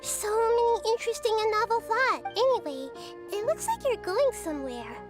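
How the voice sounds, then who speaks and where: A woman voicing a gentle, wise and curious little-girl deity in a video game. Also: very high-pitched, animated, normal speed, over music. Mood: playful, curious. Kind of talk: video game character dialogue.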